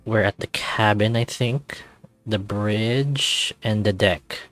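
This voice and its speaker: A man's voice: lowish voice, slow, fairly bright tone, faint music behind. A man narrating a visual novel playthrough as the channel host, reading the text aloud.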